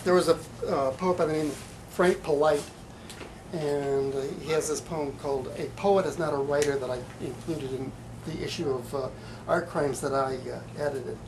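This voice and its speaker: A man giving a live poetry reading in a bookstore, with halting, hesitant asides and deliberate delivery. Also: a little high. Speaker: poet. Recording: light background room noise.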